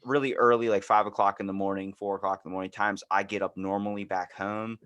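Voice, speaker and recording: A man's voice: lowish voice, flat delivery, quick pace, a bit bright, smooth. A man hosting a casual comedy podcast, chatting and telling stories in a crude, joking way. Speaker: podcast host.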